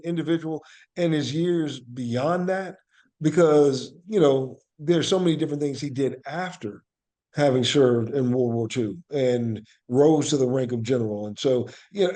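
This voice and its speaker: A man's voice: on the high side, darkish tone. Earnest man reflecting in an interview. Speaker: interview guest, actor and playwright. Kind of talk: conversational podcast interview.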